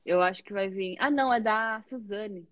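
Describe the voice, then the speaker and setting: A woman's voice: mid-range voice, normal speed, no room sound, highs cut off. Chatty Brazilian woman, dry close sound. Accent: Brazilian Portuguese. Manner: chatty and animated, many tag questions. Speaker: English teacher hosting. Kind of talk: casual conversation.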